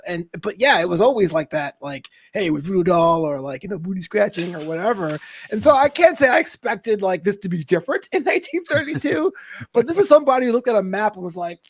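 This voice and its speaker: A man from Brooklyn speaks casually as a panelist. His voice is quite high and closely recorded, and he tells stories with wry animation. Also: no room sound. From Brooklyn, USA. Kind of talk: casual personal storytelling.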